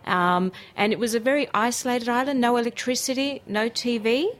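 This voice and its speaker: A woman's voice: a little high, a little fast. A woman with an Australian accent whose first language is Greek, telling her story enthusiastically as a guest in a casual interview. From Greece and Australia. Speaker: radio interview guest, cookery teacher and food writer.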